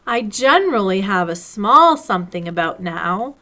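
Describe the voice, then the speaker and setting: A woman voicing a dreamy, simple male bear from a storybook, with a wistful and halting way of talking. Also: medium pitch, sing-song, sharp tone.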